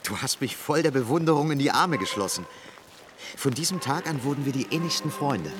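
A man's voice: mid-range voice, expressive, brisk, noisy background, close to an everyday voice. An actor playing an ambitious, combative young man from a poor background in a radio play. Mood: fond, reminiscing.

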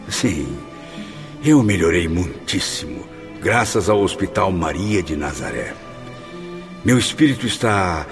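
A man playing an earnest, devout hospital patient in a radio drama, speaking in a heartfelt, formal way. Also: low voice. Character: earnest, devout male patient finding hope. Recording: faint music behind. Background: soft background music.

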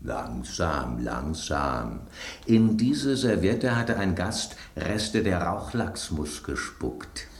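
Deep, gossipy talking loaf of bread. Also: male voice, very animated, drawn-out words, creaky, roomy sound, exaggerated voice. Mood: excited gossip. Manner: inquisitive, brusque, rhetorical questioning. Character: bread on a restaurant table, gruff gossipy male detective type. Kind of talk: German radio drama acting.

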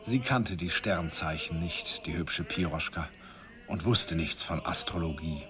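A man's voice: sits low, a little slow, a crowd in the background. An actor narrating a radio play as an older man recalling his youth with wistful, self-mocking irony. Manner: wistful, self-ironic reminiscing.

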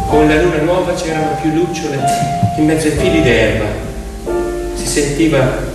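A man telling a story theatrically as a stage actor, with dramatic, drawn-out phrasing. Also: a little high. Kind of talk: theatrical narrative storytelling with piano. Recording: very echoey hall, distant, loud music. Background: loud piano music.

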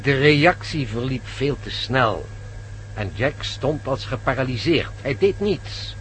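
An actor in a radio play playing an older, excitable male professor who stammers and fusses. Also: medium pitch, takes it slowly, a little hiss or hum, rough recording. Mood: explaining.

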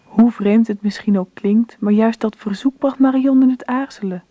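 A woman playing the calm storyteller who narrates a Dutch radio drama. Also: on the high side, moderate pace, natural voice.

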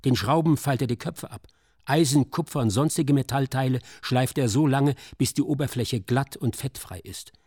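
A man's voice: mid-range voice, moderate pace, a little mellow, breathy edge, no room sound, studio quality. A man narrating a scripted radio documentary drama in a sober, clipped manner. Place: dry studio.

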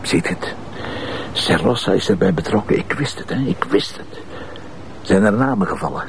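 An actor playing a gruff, driven police commissioner in a TV crime drama, with a Flemish accent and a blunt, sardonic manner. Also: male voice, lowish voice, animated, moderate pace, slight background noise. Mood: tense, commanding. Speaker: lead detective in a TV crime series. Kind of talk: naturalistic TV crime drama acting.

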